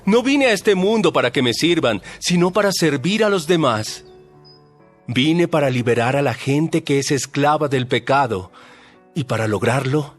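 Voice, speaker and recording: A man playing a calm, authoritative male teacher, speaking in a measured, solemn way in a dramatized audio Bible. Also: on the high side. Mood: earnest teaching. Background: soft music.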